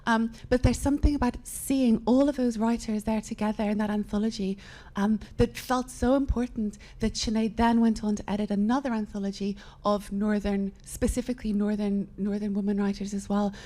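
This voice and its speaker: A woman's voice: mid-range voice, level tone, fairly quick, dark tone. A woman author, speaking earnestly and reflectively in a live literary panel conversation.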